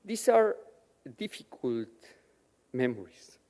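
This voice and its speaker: A man from Mexico whose first language is Yiddish, telling his personal story slowly and with feeling as a guest speaker at a Shabbat service in a synagogue chapel. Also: medium pitch, big pitch swings, creaky, dark tone, long reverb tail. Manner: slow, measured, emotional storytelling. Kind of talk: personal testimony speech.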